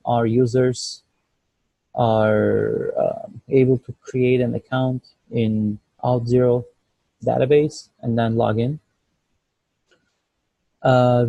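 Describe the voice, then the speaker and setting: A man giving an informal project update on a video call, speaking in a hesitant, halting way. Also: medium pitch, gravelly, dark tone, breathy edge, no echo, poor audio. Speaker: student developer presenting a team project check-in. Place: indoors at home, on a video call.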